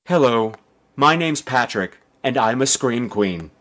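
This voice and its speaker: A male podcast host gives a theatrical, campy introduction. His pitch leaps around and his words are stretched out slowly, with a bright, forceful edge and some gravel. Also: expressive, soft background music. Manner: campy, drawn-out delivery.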